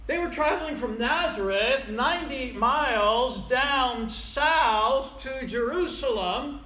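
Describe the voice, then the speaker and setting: High, bright-voiced preacher in his sixties, echoey room. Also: animated, slow. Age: 60s. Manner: folksy, conversational, repeats phrases for emphasis. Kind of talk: sermon with rhetorical questions and asides. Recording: echoey room, faint background noise.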